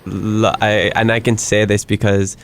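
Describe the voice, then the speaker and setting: Gravelly low-voiced man hosting. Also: level tone, a little slow. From Hawaii. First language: Hawaiian. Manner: earnest, frequent self-restarts and stammered repeats. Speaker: podcast host. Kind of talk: casual conversational interview.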